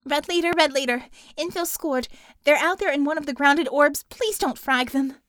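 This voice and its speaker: Female field operative played by a woman narrator, higher voice, clipped military calls, audiobook action. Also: normal speed, a bit bright, dry recording, studio quality. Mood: urgent. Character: urgent female field operative calling over comms.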